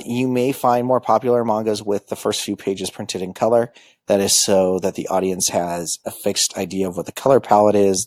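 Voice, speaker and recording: Halting low-voiced man giving a lesson. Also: darkish tone, dry recording. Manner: halting, many ums and uhs. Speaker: school librarian teaching manga basics. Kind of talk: informal lecture.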